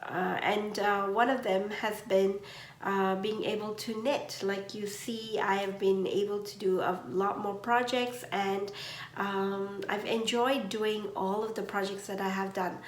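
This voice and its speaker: A woman hosting a knitting podcast from home, chatting slowly with many ums, her voice a little congested from allergies. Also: medium pitch, steady tone, reverberant, slight background noise. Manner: slow, gentle, with many ums and uhs. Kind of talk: casual chat.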